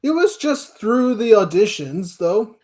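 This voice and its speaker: A man speaking as an interview guest in a casual chat, with a halting, hesitant delivery. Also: sits high, very animated, a little mellow. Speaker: interview guest, amateur voice actor.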